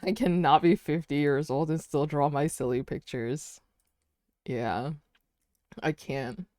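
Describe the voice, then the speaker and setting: A woman's voice: deep voice, slow pace, warm tone, dry recording, clean studio sound. A woman in her twenties chatting casually while drawing on a stream, rambling, laughing often and saying "dude" and "like". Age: 20s.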